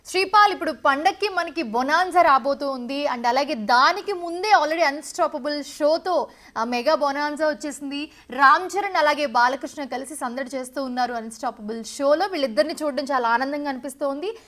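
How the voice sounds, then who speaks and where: A woman's voice: sits high, fairly quick, fairly bright tone. A woman news anchor, presenting live and putting questions to a reporter.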